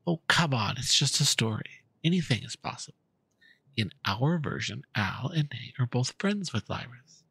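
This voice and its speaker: A man's voice: mid-range voice, big pitch swings, normal speed, vocal fry, pushed and bright, breathy edge, no room sound, exaggerated voice. A man performing a dramatic reading as a patient, theatrical storyteller coaxing a young listener through a fairy tale. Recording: music behind the voice.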